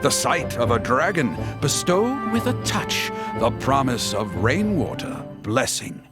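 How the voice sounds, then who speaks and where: A theatrical, lively-pitched storyteller. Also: male voice, measured pace. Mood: reverent. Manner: theatrical, swelling and hushing. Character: dramatic traditional storyteller of dragon legends. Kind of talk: storytelling in a video game. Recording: loud music behind the voice.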